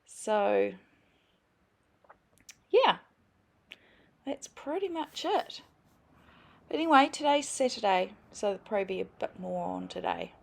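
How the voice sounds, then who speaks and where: Slow, croaky woman vlogger. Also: medium pitch, animated. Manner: rambling, with many fillers. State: croaky from just waking. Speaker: sewing vlogger. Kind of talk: casual chat. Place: at home.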